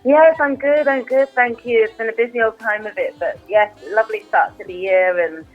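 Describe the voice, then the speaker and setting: A woman's voice: a little high, moderate pace, dull audio, soft background music. A woman from Nigeria who grew up in the UK, a dentist guest on a radio interview, chatty and laughing often. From Nigeria, raised in the UK.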